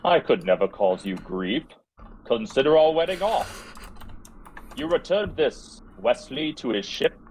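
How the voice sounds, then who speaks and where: A scheming prince, read by a man talks slowly and formally with expressive rises and falls, feigning concern. It sounds like a table read, with some noise and clicks behind the voice. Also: mid-range voice, poor audio. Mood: smooth persuasion. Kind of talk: amateur table read acting.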